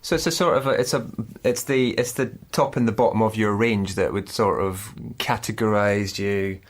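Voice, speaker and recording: A man's voice: fairly low pitch, normal speed. A man hosting a podcast, thinking aloud and restarting sentences as he searches for words. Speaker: podcast host. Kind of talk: conversational podcast interview.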